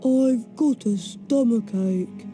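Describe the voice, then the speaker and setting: An actor playing a schoolboy wizard in disguise in a video game, speaking haltingly and hesitantly. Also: male voice, very high voice, mellow, soft background music. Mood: awkward, evasive. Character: schoolboy wizard posing as a slow-witted bully.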